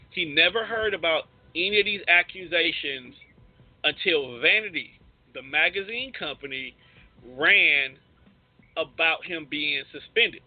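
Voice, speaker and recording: An American man with a husky voice hosting an informal radio talk show, searching for his words as he goes. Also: a little high, no room sound. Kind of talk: casual topical talk. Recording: narrow tinny phone-like line.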